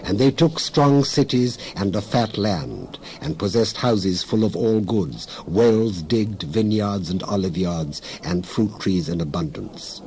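Male narrator, low and mellow, for solemn dramatized Bible reading; declamatory, measured phrases. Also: expressive, low-quality recording. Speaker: Bible reader.

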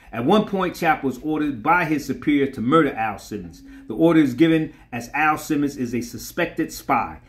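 A middle-aged man hosting a comic book channel, narrating and commenting on character history. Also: mid-range voice.

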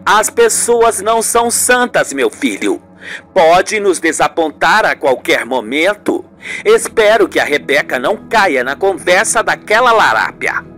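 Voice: medium pitch, big pitch swings, unhurried, fairly bright tone, soft background music. A performer as an indignant, scheming older mother. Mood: insinuating. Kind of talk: radio soap opera acting.

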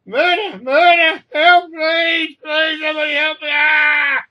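A man's voice: extremely high pitch, flat delivery, slow, highs cut off, pitched above the performer's own voice. A man voicing a hysterical, terrified airline passenger in a story, shrieking and wailing melodramatically. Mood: screaming panic.

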